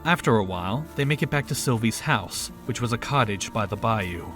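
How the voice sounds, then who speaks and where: A man's voice: mid-range voice, lively intonation, a little fast. A man narrating a scripted audio drama in storybook style. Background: background music.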